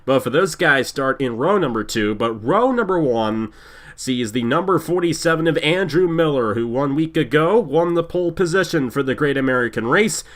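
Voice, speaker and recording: A man with a congested voice from a cold, calling a simulated stock car race as play-by-play host in an energetic, sing-song announcer style. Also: on the high side, moderate pace. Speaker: play-by-play commentator. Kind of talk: sim racing broadcast commentary.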